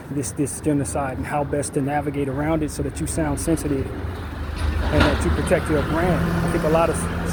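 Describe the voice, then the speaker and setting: Male commentator with a slightly high voice, deliberate and emphatic, on a noisy recording with vehicles passing. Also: warm tone. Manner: measured and deliberate, with frequent restarts and emphatic stresses. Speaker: online commentator. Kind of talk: unscripted opinion monologue.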